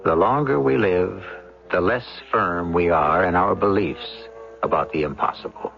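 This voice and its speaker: A muffled old radio recording with faint music carries an American man as host. His gravelly voice rises and falls widely in portentous, theatrical narration with deliberate pauses. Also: sits low.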